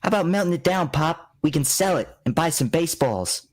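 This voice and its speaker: A man's voice: on the high side, animated, fairly quick. A man acts out a scheming young boy in an old-time radio play. He is bossy with his pals and slippery with grown-ups, talking in brash street slang. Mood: evasive. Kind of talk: vintage radio drama acting.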